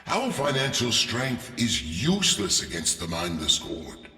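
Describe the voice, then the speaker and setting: An actor playing a shrewd, courteous merchant leader in a video game, formal and persuasive, with deliberate pauses. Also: male voice, on the low side, huge pitch swings, pushed and bright, full character voice. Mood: urgent appeal. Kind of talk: video game briefing acting. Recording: echoey hall, distant, faint music.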